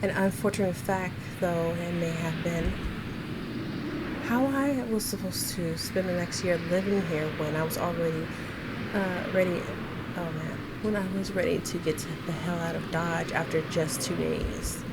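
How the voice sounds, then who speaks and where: A woman reading a visual novel aloud with casual side remarks, halting and stumbling over words now and then. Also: fairly low pitch. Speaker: video game streamer reading aloud and commenting. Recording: very noisy home recording.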